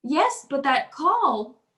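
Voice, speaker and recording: High-voiced frightened wife, acted by a woman. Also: wildly swooping pitch, drawn-out words, sharp tone, reverberant, full character voice. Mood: shaken, evasive. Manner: breathless, pleading, faltering. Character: frightened, pleading wife in fear for her life. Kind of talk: old-time radio suspense acting.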